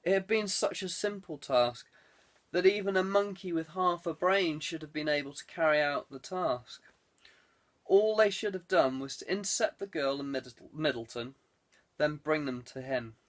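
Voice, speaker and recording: A man's voice: on the high side, moderate pace, fairly bright tone. A man reading a scripted work of fiction as an audiobook narrator.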